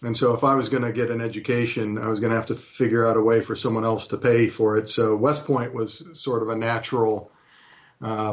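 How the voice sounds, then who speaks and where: A man in his fifties from California speaks with a flat, steady delivery that barely rises or falls. His pitch sits in the ordinary middle range. He answers interview questions thoughtfully, pausing often on drawn-out "uh"s as he gathers his words. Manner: measured, frequent hesitant "uh" pauses. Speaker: interview guest, retired army colonel and history professor.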